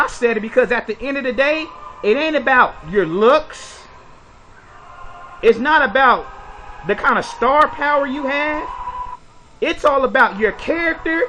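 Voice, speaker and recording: High, bright-voiced man lecturing bluntly. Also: low-quality recording. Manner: preachy, blunt, lecturing with rhetorical questions. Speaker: commentary video host. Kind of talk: opinionated commentary monologue.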